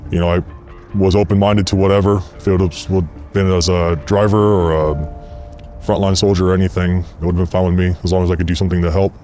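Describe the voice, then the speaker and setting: A man's voice: deep voice, flat delivery, fast talker, darkish tone, faint music behind. A man, a former Marine, giving an earnest, plain-spoken interview testimony. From United States.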